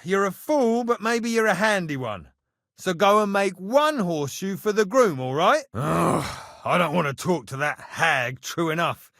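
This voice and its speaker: A grumbling castle blacksmith from a medieval video game. His voice sits high for a man and swings animatedly as he orders, scoffs and mocks in blunt, colloquial phrases. The sound is dry and close, with no room on it. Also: animated, a little slow, fairly bright tone, no echo, full character voice. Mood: bossy, brusque, then irritated. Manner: blunt, sardonic, exasperated, rough colloquial phrasing. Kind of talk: video game character dialogue.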